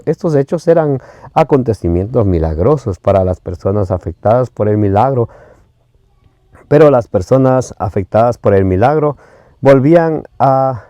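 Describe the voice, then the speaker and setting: Mellow-voiced Ecuadorian man preaching. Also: on the low side, no echo. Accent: Ecuadorian Spanish. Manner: reads scripture references slowly, often hesitates with fillers.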